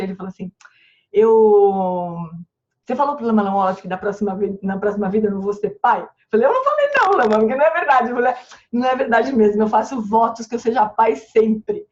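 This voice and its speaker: A woman leading an online dharma study group, slowly chanting long-life prayers and then telling stories in a lively, affectionate way. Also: medium pitch. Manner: slow recited chants and warm animated storytelling. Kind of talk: chanting prayers and informal teaching. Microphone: online call microphone. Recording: slightly muffled lo-fi sound.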